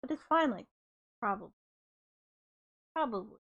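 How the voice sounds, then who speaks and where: A woman's voice: a little high, animated, normal speed, a bit bright, dull audio. A woman streamer reading aloud and chatting casually in a giggly, playful way. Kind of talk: casual livestream readalong and chat.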